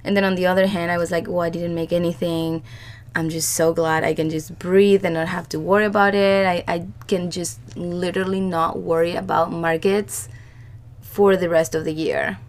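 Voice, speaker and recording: Woman with a scratchy cold-roughened voice. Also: on the low side, little pitch movement, normal speed. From Chile. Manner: chatty, rambling with many fillers and restarts. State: hoarse from a cold. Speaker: podcast co-host. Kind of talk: casual chat.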